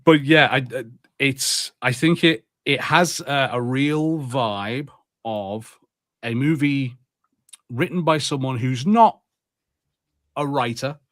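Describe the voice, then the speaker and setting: A man co-hosting a casual podcast, talking in long animated rambles at a medium pitch. His voice is recorded dry and close, with no room sound. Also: slow, pauses often, no room sound. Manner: rambling, animated, laughs easily. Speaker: podcast co-host.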